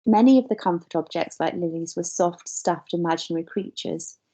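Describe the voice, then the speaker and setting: Mellow expressive woman reading a story. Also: on the low side, normal speed. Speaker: teacher reading a novel aloud. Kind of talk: expressive scripted storybook narration.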